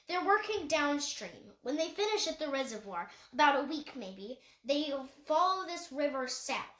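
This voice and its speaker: A woman with a fairly high voice reads a story aloud in a noticeably echoey room. She narrates from the page and now and then trips on a word and corrects herself. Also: fairly bright tone. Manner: occasional stumbles and self-corrections. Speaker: audiobook reader.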